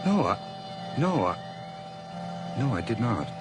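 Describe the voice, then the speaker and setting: A stammering, guilt-crazed young murderer. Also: male voice, mid-range voice, very animated, extremely slow. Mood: shaken. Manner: stammering, rising to frantic shouts. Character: tense young male murderer, guilt-ridden, cracking into frenzy. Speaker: murderer telling his own story. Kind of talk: 1980s radio drama acting. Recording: hissy old radio broadcast.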